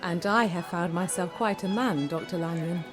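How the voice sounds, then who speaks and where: A woman's voice: on the low side, moderate pace, soft background music, some chatter behind, natural voice. A woman playing a genteel young Victorian fiancée, affectionate and teasing, in a theatrical period drama. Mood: fond, playful.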